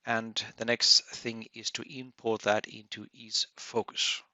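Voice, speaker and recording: A man presenting a technical webinar tutorial as an instructor, explaining step by step in a halting way. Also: lowish voice, little pitch movement, bright, forceful tone, dry recording. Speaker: audio engineering instructor.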